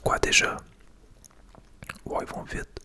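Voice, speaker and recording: A Québécois French speaker gives gameplay commentary in a breathy ASMR whisper. The sound is bright and close to the mic. Remarks come short and casual, in reaction to the game. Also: takes it slowly. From Quebec, Canada. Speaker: gaming ASMR video creator.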